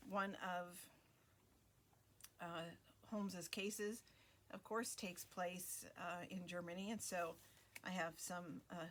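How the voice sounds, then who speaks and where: A woman hosting a craft video, talking casually through a flip-through with many fillers and pauses. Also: on the low side, a bit bright, a little hiss or hum.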